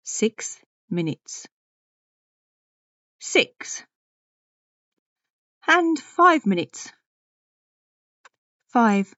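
A woman's voice: a little high, no room sound, lo-fi sound. A woman playing a fussy, haughty, anxious older woman in a dramatic audio monologue, with a pompous, self-important way of repeating herself. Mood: haughty, indignant. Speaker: audio story reader. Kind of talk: dramatic first-person monologue reading.

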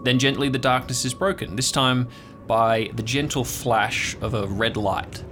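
A man with a mid-range voice narrates an improvised audio drama, with music underneath. He hosts the story himself, pausing and feeling his way through the phrases. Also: medium pitch, fairly even intonation. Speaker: host, show creator and narrator.

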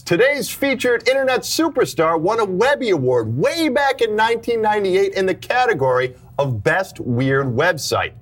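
Male presenter, high voice with lively swings, scripted showy intro read. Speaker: podcast presenter.

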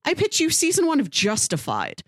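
A woman podcast co-host with a fairly high, very animated voice. She chats casually and pitches ideas in a wry, playful way. The sound is dry and close. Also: normal speed, no echo.

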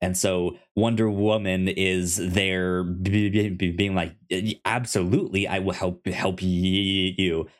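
A man co-hosting a podcast in casual chat about comics, speaking haltingly with stammered, repeated syllables and words. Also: sits low, level tone. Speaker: podcast co-host.

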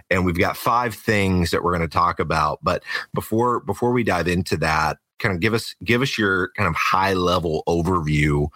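A man who co-hosts and produces a podcast, speaking in a smooth, polished radio announcer style. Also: low voice, bright, forceful tone, dry recording. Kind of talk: podcast interview.

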